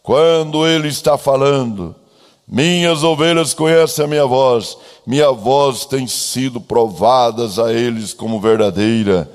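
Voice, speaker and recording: Slow Brazilian preacher in an echoing hall. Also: male voice, mid-range voice. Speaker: pastor. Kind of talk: church sermon with a read-out letter. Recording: long echoing hall reverb.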